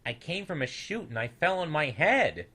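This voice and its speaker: A man's voice: fairly high pitch, very animated, moderate pace, sharp tone, husky, pitched above the performer's own voice, full character voice. A man voicing a small, young, naive storybook creature, earnest and easily wounded, with a childlike manner. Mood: eager, excited.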